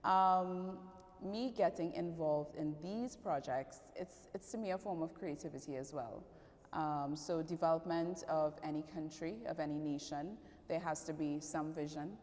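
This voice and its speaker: A woman's voice: sits low, moderate pace, darkish tone. A woman with a Trinidadian accent giving conversational answers as an interview guest. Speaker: interview guest, fashion and public relations professional. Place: large echoing indoor event venue. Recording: long hall reverberation.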